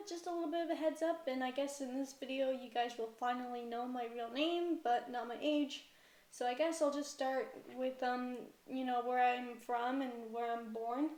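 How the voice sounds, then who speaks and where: A woman's voice: high-pitched, a little mellow. A young woman from Canada talking casually about her life, hesitant and full of fillers. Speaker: vlogger. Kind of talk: casual personal vlog talk. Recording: roomy echo.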